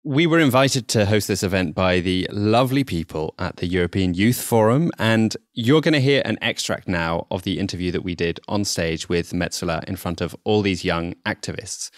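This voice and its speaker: A man's voice: deep voice, lively intonation, moderate pace, few pauses, dry recording, studio quality. A man co-hosting a podcast in a casual, self-deprecating, conversational way. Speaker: podcast co-host.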